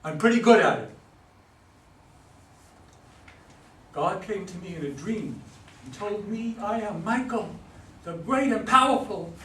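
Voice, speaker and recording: A man's voice: high-pitched, animated, moderate pace, bright, forceful tone, slight background noise. A man playing a solemn, delusional young man who claims to be God's son, earnest and preachy, in a staged play reading. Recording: echoey room, distant microphone.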